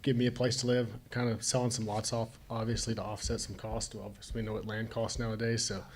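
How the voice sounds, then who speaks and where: A man's voice: on the low side, flat delivery, fast talker, slight background noise. Plainspoken young man at a hearing. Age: young adult. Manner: casual, plainspoken. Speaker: applicant buying land. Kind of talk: public hearing testimony.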